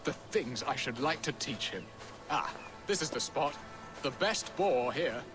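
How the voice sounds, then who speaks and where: A man's voice: mid-range voice, lively intonation, unhurried, music in the background, natural voice. An actor playing a jovial, theatrical Saxon nobleman in a game cutscene, a carefree romantic schemer with florid phrasing. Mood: fond. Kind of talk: dramatic video game cutscene acting.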